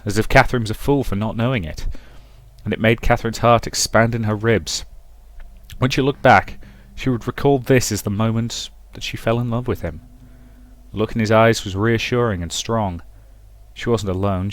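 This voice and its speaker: Guy with a lowish voice reading out a visual novel story, dramatic narration, no room sound. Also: no room sound. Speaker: let's play host and narrator.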